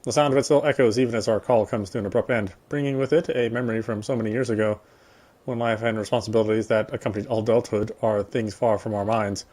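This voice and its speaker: A man's voice: lowish voice, fairly even intonation, quick pace, a little mellow. A man reading visual novel narration aloud during a playthrough, sometimes stumbling and rereading a phrase. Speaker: gamer reading story text in a playthrough.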